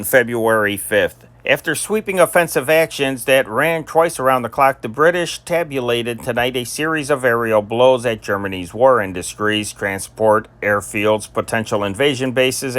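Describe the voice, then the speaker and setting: A man's voice: medium pitch, moderate pace, hardly any pauses, fairly bright tone. A man reading scripted, old-time newspaper stories as a newsreader, in a formal announcer delivery with an amateur touch. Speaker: amateur newsreader and channel host.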